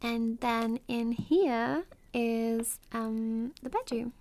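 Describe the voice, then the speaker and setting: A young woman playing a warm, playful best friend in an intimate ASMR roleplay, chatting casually with a giggly, teasing, hesitant manner. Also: on the high side, very slow. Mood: welcoming, fond.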